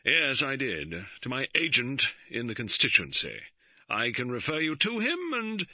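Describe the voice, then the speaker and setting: A man voicing a pompous middle-aged gentleman who blusters and splutters indignantly. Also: fairly low pitch, wildly swooping pitch, moderate pace, husky, no room sound, exaggerated voice. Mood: stiff. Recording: dull, dry studio recording.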